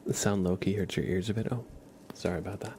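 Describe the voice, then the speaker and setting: A soft-spoken man hosting an ASMR livestream, gently chatting with viewers and often slipping into a whisper, close on the mic. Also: deep voice, fairly even intonation, quick pace, a little hiss or hum. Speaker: livestream host. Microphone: close ASMR microphone.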